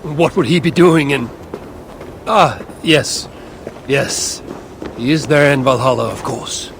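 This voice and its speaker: An actor playing a proud, vengeful, brooding warrior god in a video game cutscene, with grand archaic phrasing. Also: male voice, mid-range voice, slow pace, background noise. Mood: proud, commanding.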